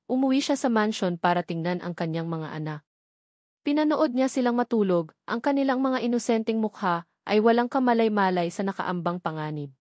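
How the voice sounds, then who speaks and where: A woman with a Filipino accent reads a dramatic story as scripted narration, in a measured, solemn manner. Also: medium pitch, a little mellow, no room sound, studio quality.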